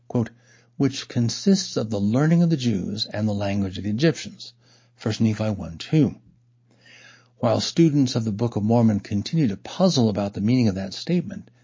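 A man with a gravelly, husky voice reads a scholarly essay aloud in a measured, formal way. His tone sits dark and mellow, and the recording sounds dull and lo-fi. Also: medium pitch. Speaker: reader. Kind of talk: scripted reading of a scholarly essay.

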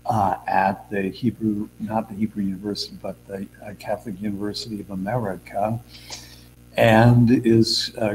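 A low-voiced man moderates a conference, introducing the next speaker with fillers and a self-correction. A faint hum sits behind his voice. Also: a little mellow, rough recording.

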